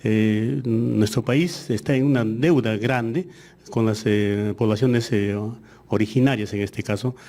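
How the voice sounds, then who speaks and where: A man whose first language is Aymara, a ministry official answering interview questions in a measured way with frequent hesitations. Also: lowish voice, fairly even intonation, dark tone.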